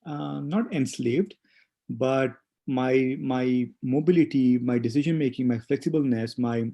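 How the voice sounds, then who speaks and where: A man in his forties who grew up in India and speaks English as a second language, reflecting slowly with many pauses and fillers as an interview guest. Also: medium pitch, vocal fry, dark tone. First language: not English. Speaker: guest, spa owner. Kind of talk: casual interview conversation.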